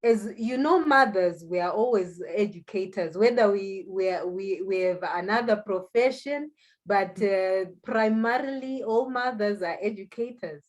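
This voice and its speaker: A woman's voice: mid-range voice, measured pace. A woman with a Zimbabwean accent, a native Shona speaker, giving a conversational personal account as an interview guest, with hesitant phrasing and repetition. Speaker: interview guest, language school founder.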